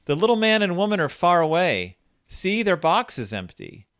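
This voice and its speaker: A man's voice: high voice, very animated, normal speed, a bit bright, clean tone, dry recording, studio quality, voice pushed up. A man voicing a strange, dismissive village girl from a fairy tale, in a singsong, taunting manner.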